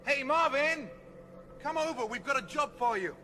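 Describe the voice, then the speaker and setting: An actor playing a brash, excitable male space adventurer in a radio comedy, cocky and full of slang. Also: very high voice, normal speed, music in the background. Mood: impatient. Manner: cocky, slangy, jive-talking. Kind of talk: radio comedy drama acting.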